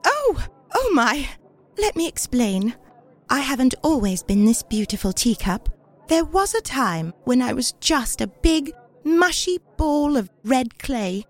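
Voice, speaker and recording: Woman voicing a high, dramatic storybook teacup. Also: very animated, a little slow, heavily acted. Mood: proud, eager. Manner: theatrical, exclaiming, pleading. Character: beautiful talking teacup, once clay, dramatic and plaintive.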